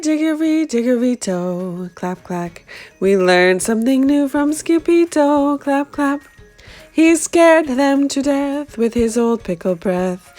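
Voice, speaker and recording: A woman voicing a gang of excited little Chihuahuas in a read-aloud children's storybook, cheering and chanting their lines. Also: sits high, pitched above the performer's own voice.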